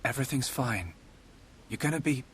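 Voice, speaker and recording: A friendly, earnest young hero from a video game, voiced by a man, speaks politely with a British accent. His mid-pitched voice moves with lively intonation over faint background noise. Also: moderate pace, lightly acted. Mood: concerned. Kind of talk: video game cutscene acting.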